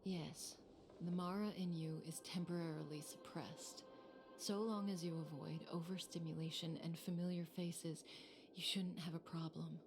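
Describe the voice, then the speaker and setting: A woman playing a composed, calculating operative in a video game, speaking in a measured, poised way. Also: fairly low pitch, steady tone, music in the background, lightly acted. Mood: calm, controlled.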